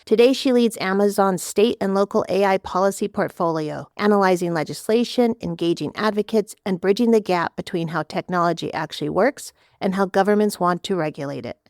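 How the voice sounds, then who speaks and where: A woman's voice: medium pitch, fairly quick, few pauses, a little mellow, dry recording, clean studio sound. A woman hosting a podcast, reading a guest introduction and then asking short, encouraging questions. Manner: reads intro, then brief encouraging prompts. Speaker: podcast host. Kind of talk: interview hosting.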